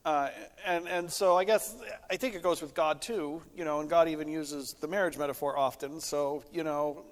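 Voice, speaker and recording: A man's voice: a little high, fairly even intonation, normal speed. A man who is a pastor, talking casually about faith in a conversational, storytelling way with frequent asides. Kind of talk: casual theological discussion. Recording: echoey hall with long reverb.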